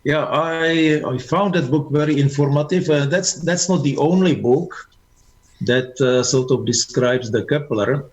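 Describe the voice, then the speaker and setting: A man answering as a guest presenter, halting and polite in his speech. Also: a little high, lo-fi sound. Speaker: guest presenter at an astronomy club. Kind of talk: informal question-and-answer after a presentation. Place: online video meeting.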